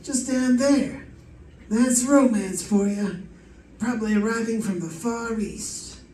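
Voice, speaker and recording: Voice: medium pitch, takes it slowly, mellow, natural voice. An actor playing a dry, puzzled homicide lieutenant bantering in an old-time radio detective drama. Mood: casual banter. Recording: echoey, distant mic, faint noise.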